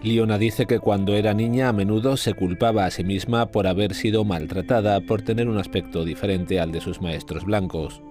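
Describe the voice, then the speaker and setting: A man reading scripted news narration as a reporter, in a measured, even delivery. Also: lowish voice. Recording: voice-over with clear music behind.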